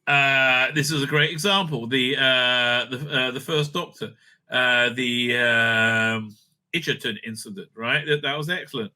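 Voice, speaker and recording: Bright, sharp-voiced man ranting off the cuff. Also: mid-range voice. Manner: opinionated, blunt, sweary, rambling; halting, repeats words as he goes. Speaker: host reviewing audio drama releases. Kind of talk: casual off-the-cuff commentary.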